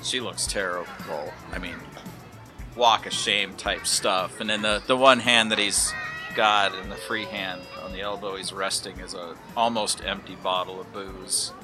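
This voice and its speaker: A man's voice: deep voice, fairly even intonation, a little slow, over music. A man playing a tabletop game, casually narrating what his character does.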